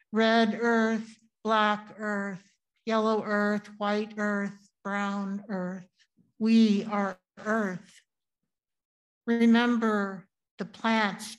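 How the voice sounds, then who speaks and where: A woman reading a poem aloud at a church service in a deliberate, emphatic recitation. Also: mid-range voice, rough recording. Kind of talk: poetry reading.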